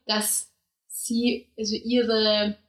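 A young woman with an Austrian accent, co-hosting a podcast in lively, casual conversation. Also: medium pitch, extremely slow, reverberant. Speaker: podcast co-host. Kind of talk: casual chat.

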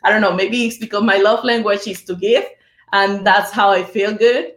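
A woman's voice: medium pitch, fairly even intonation, moderate pace, roomy sound. A woman who speaks Spanish natively, talking in English with a Spanish accent as a guest on a business podcast. She talks earnestly and simply. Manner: earnest, plain-spoken, speaks simply in a second language. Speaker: title company business development executive.

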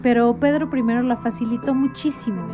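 A woman's voice: on the high side, fairly even intonation, brisk, music in the background. A woman talking as a guest in a casual conversation, explaining things like an informal storyteller and often checking for agreement. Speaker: interview guest.

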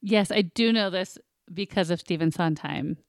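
A woman's voice: fairly low pitch, normal speed, dry recording, clean studio sound, soft background music. A woman chatting casually as a contestant on a family quiz. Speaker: quiz contestant and show regular, a parent. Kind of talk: casual chat.

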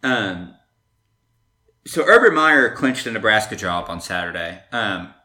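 A man's voice: lowish voice, sing-song, unhurried, pushed and bright. A man hosting a sports podcast, chatting casually and teasing his co-host.

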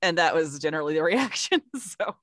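A woman's voice: low voice, expressive, a little fast, fairly bright tone, dry recording, clean studio sound. A woman co-hosting, telling candid stories in a wry manner. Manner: wry, candid, quotes people. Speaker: podcast co-host. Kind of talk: casual chat.

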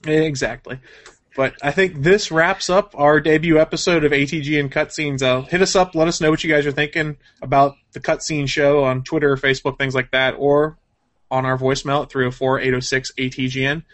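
A man's voice: a little high, steady tone, a little fast, fairly bright tone. Casual male podcast host. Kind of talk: casual chat.

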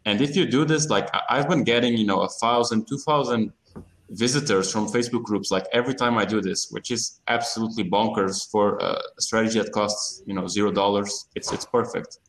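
A man's voice: fairly low pitch, fairly quick, poor audio. A man from Portugal talks informally as an interview guest, often repeating words in stop-and-start phrases. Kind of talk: casual interview talk.

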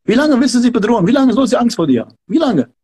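A man's voice: very high-pitched, very animated, very fast, dark tone, husky. A man who talks in an emphatic, fervent way and repeats himself, giving advice as a martial arts instructor in a podcast conversation. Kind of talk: passionate podcast talk.

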